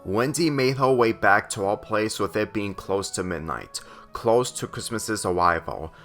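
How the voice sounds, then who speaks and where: Male story reader, unhurried and a bit halting, forceful bright tone. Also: lowish voice, expressive, soft background music. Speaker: fan fiction reader. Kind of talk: scripted story narration, reading aloud.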